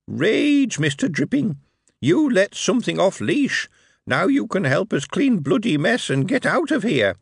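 Goblin, male, small and sardonic, mangled grammar, voiced by a male narrator; pitched up, very lively swings. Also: a little slow, creaky, slightly rough, dry recording, studio quality, heavily acted. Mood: needling. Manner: broken grammar, sardonic and lofty. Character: sly mocking male goblin, small, broken speech.

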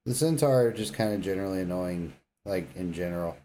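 A man's voice: low-pitched, lively intonation, brisk, dark tone. A man co-hosting a podcast, chatting casually about games in a blunt, offhand way. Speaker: podcast co-host. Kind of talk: casual gaming chat. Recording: faint background noise.

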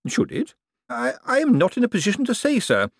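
A man's voice: extremely high pitch, huge pitch swings, brisk, creaky, breathy edge, no room sound, clean studio sound, voice pushed up, exaggerated voice. A man voicing a fussy, prim male clerk who speaks in a hesitant, formal way.

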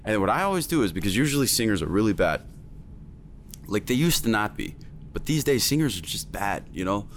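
A man's voice: lowish voice, sing-song, brisk, slight background noise. A man working as a music producer and teacher, talking emphatically in a casual chat, with lots of fillers.

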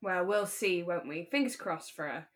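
A woman's voice: on the low side, animated. Chatty British woman co-hosting a podcast. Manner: chatty, quick to laugh. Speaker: podcast co-host. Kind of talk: relaxed casual chat about a radio drama.

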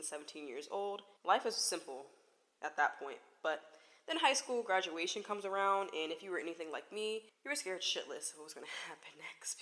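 A woman's voice: on the low side, pushed and bright. A woman in her twenties hosting her own podcast, talking in a chatty, playful way and often laughing. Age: 20s. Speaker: podcast host. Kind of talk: casual solo podcast chat.

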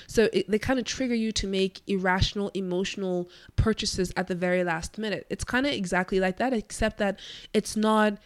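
A woman's voice: mid-range voice, fairly quick, no echo. A woman hosting her own podcast, talking through ideas in a conversational, explanatory way, her voice nasal and congested from a cold. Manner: conversational, explanatory, thinking aloud. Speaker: podcast host. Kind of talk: solo podcast monologue.

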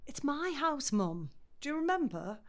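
A woman's voice: high-pitched, sing-song, moderate pace. An actress playing a patient middle-aged English mother caring for her parent in an audio drama, chatty and coaxing.

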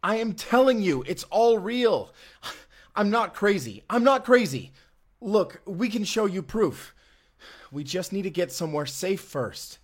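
A man's voice: high voice, expressive, unhurried. An actor playing a desperate, foul-mouthed young man on the run in an audio drama. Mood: frantic, pleading. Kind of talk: first-person immersive audio drama acting.

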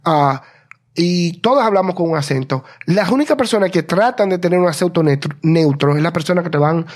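A man co-hosting a casual talk show, telling stories quickly and with energy. His first language is Spanish, and he speaks English with a Spanish accent. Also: a little high. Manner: fast, animated storytelling. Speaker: podcast co-host. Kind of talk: casual conversation.

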